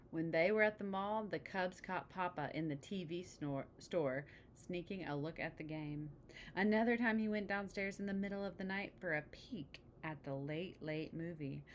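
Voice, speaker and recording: A woman reading a children's picture book aloud, pausing for chatty asides and questions to the listener. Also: lowish voice, a little hiss or hum. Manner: engaging, asks the listener questions. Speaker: storybook reader.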